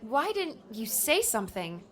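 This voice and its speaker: A woman's voice: on the high side, big pitch swings, measured pace, fairly bright tone. A woman voicing an earnest, hesitant young adventurer in conversational audiobook dialogue. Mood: questioning.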